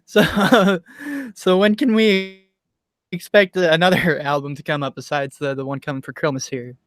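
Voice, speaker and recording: High-voiced American man, stammering podcast guest, dry close mic. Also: normal speed, no echo. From United States. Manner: stammers with repeated starts, laughs often. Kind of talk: casual podcast chat.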